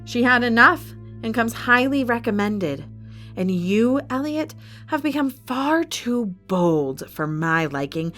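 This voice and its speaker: Villainess, non-human arena boss: imperious, cold, animated pitch, threatening, audio drama with music underneath. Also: female voice. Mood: menacing. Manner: haughty, menacing, measured threats.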